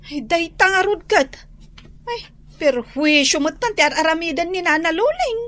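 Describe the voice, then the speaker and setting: A woman's voice: very high-pitched, animated, moderate pace, fairly bright tone, no echo. A woman playing an annoyed young gossiping neighbour with an Ilocano accent in a comedy radio drama.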